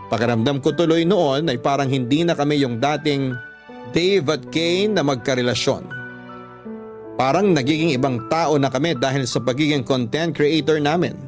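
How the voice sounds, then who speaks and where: Male Filipino narrator, radio love-story host, slightly high-pitched, soft music bed behind. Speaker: storyteller host reading a listener's letter.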